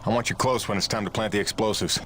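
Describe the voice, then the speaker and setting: A man playing a steady, weary rig pilot who leads a rebellion in a game cutscene, plain-spoken and reassuring. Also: lowish voice, fast, a little hiss or hum, natural voice. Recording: loud music behind the voice.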